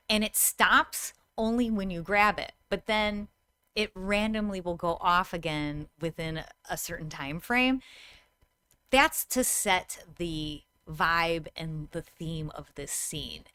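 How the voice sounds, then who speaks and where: A woman's voice: lowish voice, takes it slowly, fairly bright tone, clean tone, no echo. A woman in her thirties giving a tutorial to camera in a conversational, explanatory manner. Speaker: host, VR game designer.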